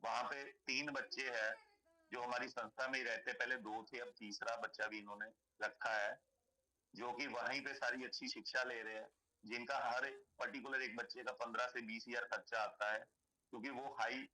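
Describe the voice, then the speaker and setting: Hoarse man pitching a donation scheme. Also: steady tone, moderate pace, bright, forceful tone, rough recording. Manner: persuasive, repetitive explaining. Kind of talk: sales pitch on a call.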